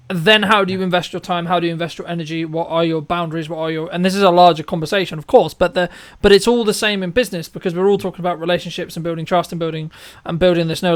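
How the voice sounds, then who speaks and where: A man hosting a conversational business interview, speaking emphatically with rhetorical questions and frequent checks for agreement. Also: a little high. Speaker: interview host and filmmaker.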